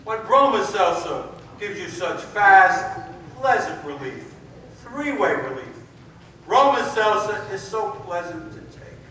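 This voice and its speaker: A man playing a persuasive pitchman in an old-time radio commercial. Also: very animated, takes it slowly, bright, forceful tone, heavily acted. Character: bright, persuasive male radio pitchman selling remedies. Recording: echoey hall from far off, noisy.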